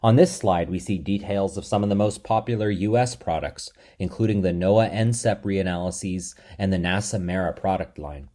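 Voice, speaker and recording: A man delivering a scripted lecture in a measured, steady explanatory way. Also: deep voice.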